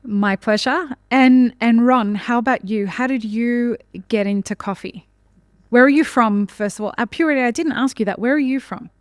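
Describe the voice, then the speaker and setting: A woman's voice: fairly high pitch, normal speed, no room sound, studio quality. A woman hosting a podcast interview who welcomes her guests and asks encouraging questions. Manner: warm encouraging, short backchannels. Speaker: podcast host.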